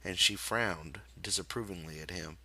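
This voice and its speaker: A man reading literary fiction aloud as an audiobook narrator, with measured, deliberate phrasing. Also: low voice, sharp tone, no room sound, rough recording. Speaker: audiobook reader.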